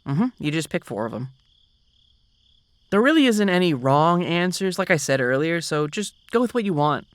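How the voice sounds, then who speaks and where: A man's voice: a little high, sing-song, moderate pace, no room sound. A man doing an ASMR roleplay as a boyfriend, patiently explaining things to the listener. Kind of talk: soft-spoken ASMR roleplay.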